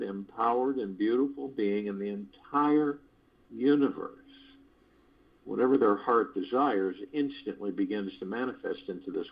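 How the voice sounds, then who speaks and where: Slow, husky man leading guided meditation. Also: mid-range voice. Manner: measured, deliberate phrasing with pauses. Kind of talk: guided meditation, spiritual motivational talk. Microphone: phone conference call. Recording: dull lo-fi conference call audio.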